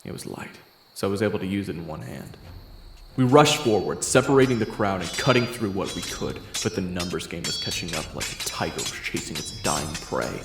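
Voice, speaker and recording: A man's voice: low voice, animated, brisk. A man narrating a first-person audio roleplay in a dramatic storytelling way. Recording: echoey room with loud music.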